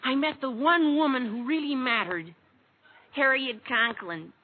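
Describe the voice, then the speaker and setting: Squeaky-voiced earnest teenage boy. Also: measured pace. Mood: gushing. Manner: gushing, self-important, naively blunt. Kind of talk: 1940s radio comedy acting. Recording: tinny, hissy old radio recording.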